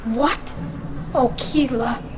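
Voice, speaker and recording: A woman's voice: sits high, drawn-out words, background noise. An actress playing a young, proud female alien crew member in a video game, speaking earnestly and with emotion. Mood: shocked, distressed.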